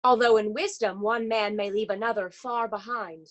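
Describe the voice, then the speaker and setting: A woman's voice: medium pitch, fairly even intonation, moderate pace, lightly acted. A woman playing a loyal, reasoning member of a Greek tragic chorus, reciting dramatically. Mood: doubtful, solemn.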